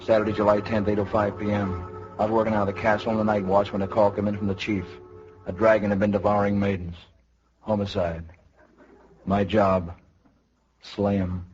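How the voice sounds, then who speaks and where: An actor playing a deadpan knight who talks like a clipped, polite police detective in a 1950s radio parody. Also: male voice, lowish voice, moderate pace, long pauses, over music, close to an everyday voice. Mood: deadpan, businesslike. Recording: dull, very noisy 1950s radio recording.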